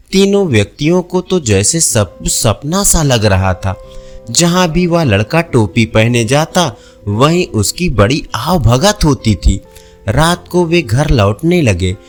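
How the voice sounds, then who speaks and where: A man tells a village folk tale in a fairly high voice. His pitch swings widely from line to line. Soft music plays faintly underneath. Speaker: storyteller. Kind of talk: scripted story narration.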